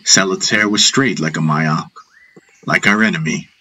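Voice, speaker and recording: A man narrating a fantasy audiobook in a measured, dramatic storytelling style. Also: low voice, pushed and bright, slight background noise, low-quality recording.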